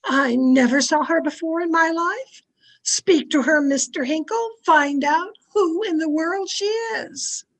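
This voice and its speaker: A woman acting a bossy society wife in an old-time radio play. Her voice is high and her delivery slow and theatrical. She scolds and commands with haughty indignation. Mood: startled. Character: domineering, haughty society wife, easily outraged. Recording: amateur video-call recording.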